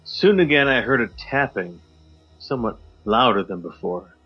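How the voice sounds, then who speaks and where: A man co-hosting a podcast, giving a theatrical poetry reading that swings between loud highs and hushed drops. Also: animated, normal speed. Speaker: podcast co-host. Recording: slightly muffled with faint music.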